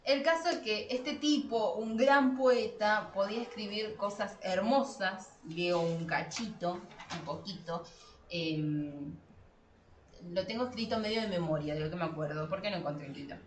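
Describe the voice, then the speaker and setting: A woman's voice: medium pitch, moderate pace, a little hiss or hum. A woman with an Argentine accent co-hosting a podcast, discussing literature casually in a colloquial, irreverent way. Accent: Argentine Spanish. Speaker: podcast co-host. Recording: roomy, echoing sound.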